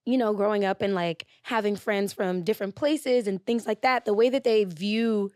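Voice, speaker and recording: A young woman from Pasadena with a slightly raspy voice, chatting as a guest on an interview podcast, full of fillers and quick laughs. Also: mid-range voice, a little mellow, no echo, studio quality. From Pasadena, California. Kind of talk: casual interview chat.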